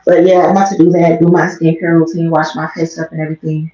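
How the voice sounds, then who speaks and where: An American woman with a slightly raspy voice, talking quietly and casually in a rambling vlog, recorded on a headset mic in a small bathroom. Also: little pitch movement, quick pace. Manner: low-key, talking quietly. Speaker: vlogger livestreamer. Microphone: headset mic close to mouth. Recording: muffled, echoey small room.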